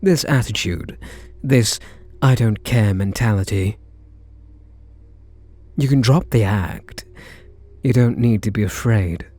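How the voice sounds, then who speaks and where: A British man playing a reluctant kidnapper in an intimate ASMR roleplay, wry and incredulous, speaking in short halting phrases. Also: on the low side, dark tone. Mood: wry, amused. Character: reluctant male kidnapper, gruff but secretly softening. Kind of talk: ASMR roleplay acting, intimate one-sided dialogue.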